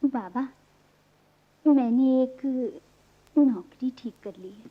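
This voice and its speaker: High-voiced, earnest young daughter. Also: female voice, slow pace. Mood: hopeful. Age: young adult. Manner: earnest, respectful. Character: hopeful, devoted young graduate daughter. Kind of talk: radio play acting. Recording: muffled archival radio recording.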